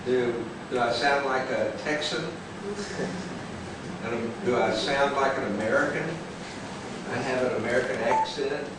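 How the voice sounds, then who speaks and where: A man giving a classroom lecture as a visiting lecturer, halting and pausing with many fillers. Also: mid-range voice, fairly even intonation. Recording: echoey hall, voice far from mic, loud background hiss.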